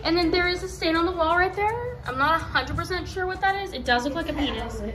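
An eighteen-year-old woman vlogging into a phone held at arm's length, chatting casually with a sarcastic, deadpan edge. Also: very high voice, quick pace, over music. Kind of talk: casual vlog chat. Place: car, then small hotel room.

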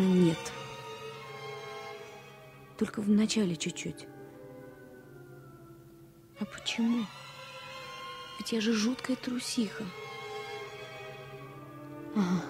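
An actress playing an earnest, worried woman in love in a radio drama, confiding in a hushed, halting way. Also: medium pitch. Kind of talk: radio play acting, 1970 Soviet radio drama.

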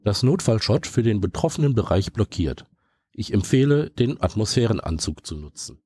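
A calm spaceship computer from an audio drama, acted by a man. The voice is low, dark and rough-edged, recorded very dry. It delivers formal reports in a measured, dutiful way. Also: no echo. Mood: formal, reporting. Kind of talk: science fiction audio drama.

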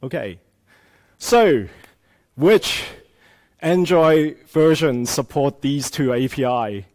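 A man's voice: a little high, expressive, slow pace, a little mellow. A man from Hong Kong, a native Cantonese speaker, giving a live conference tech talk in a casual style with many fillers. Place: conference room with audience.

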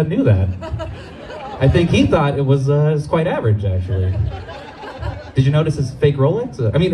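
A young man from Los Angeles performing a stand-up comedy set on an outdoor stage with a handheld mic, animated and jumping into high voices for the people he quotes.

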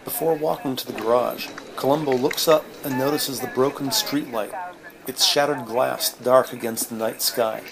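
A man narrating a detective audio drama in measured, descriptive present-tense storytelling. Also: medium pitch, creaky, crowd noise, rough recording. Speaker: podcast narrator. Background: music.

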